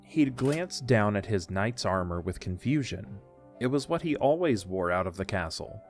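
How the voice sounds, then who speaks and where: A man's voice: on the low side, expressive, moderate pace, faint music behind. A man reading scripted narration for an audio drama, telling a story in the third person.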